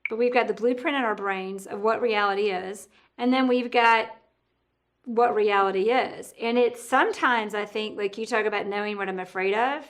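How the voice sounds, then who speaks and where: A woman hosting a casual podcast interview, talkative and thinking out loud, often correcting herself as she goes. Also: mid-range voice, moderate pace.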